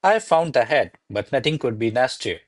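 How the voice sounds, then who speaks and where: A man's voice: medium pitch, lively intonation, normal speed, no room sound, close to an everyday voice. A man playing a deferential, flustered servant to a noble lady in a radio play, speaking formally and placatingly. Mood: earnest, excuse-making. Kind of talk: radio play acting of a classic comedy.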